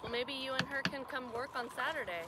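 A woman's voice: high-pitched, fairly quick. A woman chatting casually with her family outdoors, off camera. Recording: distant voice in loud outdoor boat noise.

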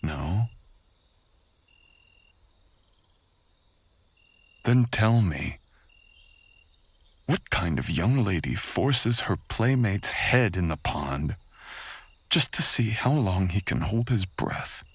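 Man playing a very deep, gravelly samurai captor. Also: animated, full character voice. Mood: bitter, taunting. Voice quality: husky, gravelly, breathy with whispering. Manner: slow, taunting, intimate menace. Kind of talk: boyfriend ASMR audio roleplay.

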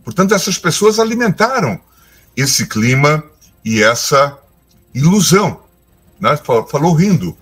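A man with a Brazilian accent speaking in measured, formal remarks. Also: medium pitch, fairly bright tone, husky. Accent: Brazilian Portuguese. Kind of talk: interview remarks.

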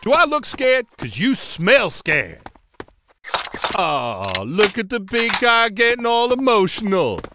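Brash, high-pitched wisecracking cop. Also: male voice, sing-song, slow pace, noisy background, heavily acted. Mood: taunting. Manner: brash, taunting, foul-mouthed. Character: wisecracking, excitable, swaggering male cop, adult. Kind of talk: video game voice acting. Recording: game audio under loud music.

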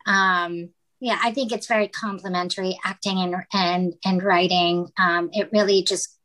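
A woman's voice: fairly low pitch, steady tone, moderate pace, a bit bright, low-quality recording. A woman from the Philippines, a writer and actress, speaking as a guest in a casual interview, hesitant with many fillers and restarts.

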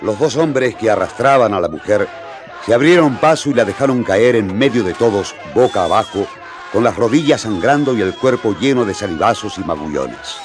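Guy narrating a radio drama, lowish voice, very lively storytelling, crowd in background. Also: moderate pace. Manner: vivid storytelling. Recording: crowd noise behind.

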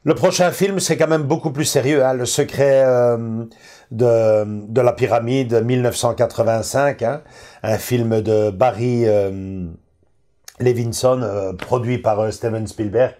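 A man's voice: medium pitch, fairly even intonation, unhurried, mellow. A middle-aged man, hosting a casual film-collecting chat in a living room, full of fillers and restarts. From Belgium. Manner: hesitant, many fillers and restarts. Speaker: host.

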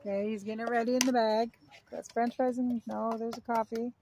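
A woman's voice: a little high, flat delivery, fairly quick. Playful woman filming children outdoors. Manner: playful, gently teasing, coaching. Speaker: parent filming children at play. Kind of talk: casual chat, directing a pretend game. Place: backyard, outdoors. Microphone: handheld camera, speaker behind it.

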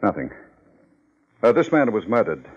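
A wealthy, stuffy gentleman in a 1950s radio play. He speaks low, in a formal and hesitant way. The old recording sounds dull. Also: big pitch swings, normal speed, slightly rough. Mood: offended, flustered. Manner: formal, stiff, hesitant when pressed. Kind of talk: 1950s radio drama acting.

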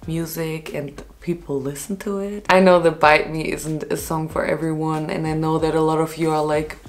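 A young woman in her twenties chatting to camera in a casual home vlog, bubbly and chatty, with a low voice from a hangover. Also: normal speed. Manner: bubbly, swinging between excited squeals and soft asides. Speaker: singer and vlogger. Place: small bedroom at home, indoors. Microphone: camera on desk, sometimes handheld phone.

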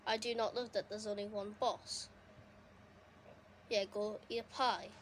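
A young woman giving casual, excitable commentary while playing a console game, her voice going a bit hoarse. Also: mid-range voice, a little slow, a little hiss or hum. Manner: chatty, excitable, reacting to the game. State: losing her voice. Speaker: gaming streamer. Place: indoors at a console. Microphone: phone filming a TV screen.

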